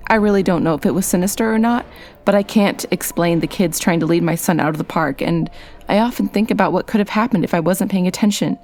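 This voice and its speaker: A woman's voice: on the low side, darkish tone. A woman reading a first-person scary story as scripted narration.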